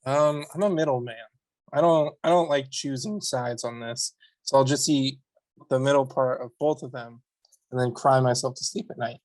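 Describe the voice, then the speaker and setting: A man's voice: mid-range voice, steady tone, moderate pace, darkish tone, no room sound. A man in his twenties, a podcast guest, chatting casually with dry, self-mocking humor. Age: 20s. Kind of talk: casual chat.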